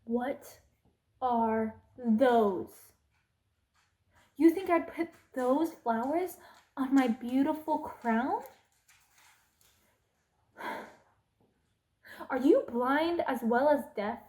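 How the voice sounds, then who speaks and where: Slow, imperious evil queen, acted by a woman. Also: high voice, dark tone. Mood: scornful, irritated. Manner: imperious, slow and deliberate, drops into menacing whispers. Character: haughty, cruel evil queen, threatening and contemptuous. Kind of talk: ASMR roleplay.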